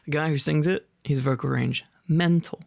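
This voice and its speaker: A man's voice: a little high, steady tone, normal speed, vocal fry, a little mellow, no echo, studio quality. A young man speaking in a casual, playful and teasing boyfriend-roleplay ASMR style, rambling as he chats to the listener. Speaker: ASMR roleplay performer, reader.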